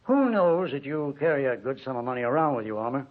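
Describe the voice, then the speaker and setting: A tinny, narrow old radio recording of a man playing an American police officer. He has a medium-pitched voice and a brisk, official, businesslike manner, in vintage radio drama style. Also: expressive, lightly acted.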